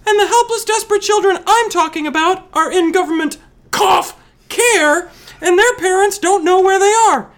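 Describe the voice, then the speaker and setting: A woman playing an earnest, indignant female expert in a forced high voice for a scripted comedy explainer. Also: normal speed.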